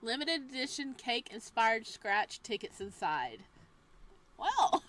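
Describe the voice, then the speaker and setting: A young woman playing a visual novel. She reads the narration softly and breaks into loud, joking asides, casual and sometimes profane. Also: on the high side, very animated, takes it slowly. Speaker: game streamer. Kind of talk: reading a visual novel aloud with commentary.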